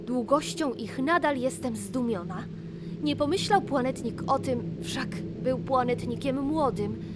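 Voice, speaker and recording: A woman narrating a rhymed children's fairy tale in an expressive, deliberate storybook manner. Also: on the high side, very noisy. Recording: music behind the voice. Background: loud music.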